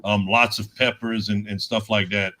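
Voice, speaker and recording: A man's voice: on the low side, level tone, normal speed, sharp tone, a little hiss or hum. A man in his forties chatting enthusiastically and gratefully on a casual group video call. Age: 40s.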